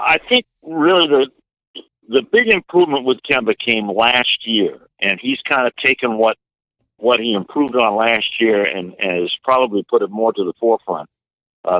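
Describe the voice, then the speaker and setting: A man giving sports analysis as an interview guest, explaining things in a measured, halting way. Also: lowish voice, a bit bright, no echo. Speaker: radio play-by-play announcer, interview guest. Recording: narrow, tinny phone-like line.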